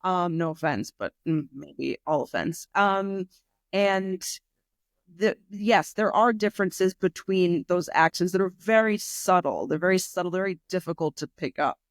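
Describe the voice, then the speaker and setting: A woman from New York with a New York accent, an English teacher chatting as a guest in a relaxed conversation. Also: fairly low pitch, a little slow, no echo. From New York, USA. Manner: talkative, chatty, self-deprecating asides. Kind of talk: casual conversation.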